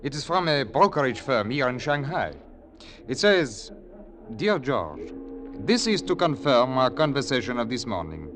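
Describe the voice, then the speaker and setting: Casting: male actor as genial paternal older man, very animated intonation, courteous and wry, golden-age radio drama. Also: medium pitch, normal speed, over music, lightly acted. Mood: wry, gentle. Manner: formal, hesitant, genial foreign-style phrasing. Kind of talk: 1940s-50s radio drama acting. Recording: vintage radio broadcast recording.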